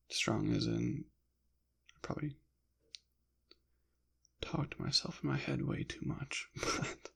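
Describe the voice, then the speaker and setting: Man, ASMR ramble, soft and half-whispered, heavy vocal fry, husky, hesitant and apologetic. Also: mid-range voice. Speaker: ASMR channel creator.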